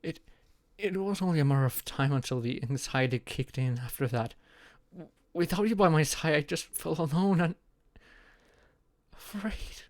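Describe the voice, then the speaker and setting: A young man playing a shy, anxious friend in an intimate audio roleplay, speaking haltingly with sighs and murmurs. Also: a little high, dry recording, clean studio sound, natural voice. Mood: anxious, tearful. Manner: halting, sighing, breathy murmurs rising to tearful outbursts.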